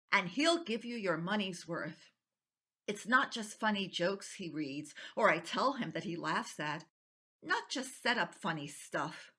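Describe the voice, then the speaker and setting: A woman's voice: mid-range voice, sharp tone, husky, heavily acted. A woman performing a stage monologue as a feisty, nonconformist out-of-work writer, talking in an emphatic, impassioned way. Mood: fond, wistful. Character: unemployed nonconformist writer pleading to keep beloved nephew, feisty. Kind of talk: dramatic stage monologue reading.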